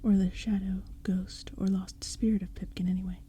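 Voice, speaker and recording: A woman narrating a storybook in a soft, hushed whisper. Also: medium pitch, flat delivery, moderate pace, slight background noise.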